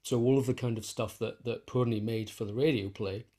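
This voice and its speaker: A man speaking as an interviewed musician in a reflective conversation, thinking aloud haltingly and rephrasing as he goes. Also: mid-range voice, steady tone, mellow. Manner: thoughtful, halting, self-correcting.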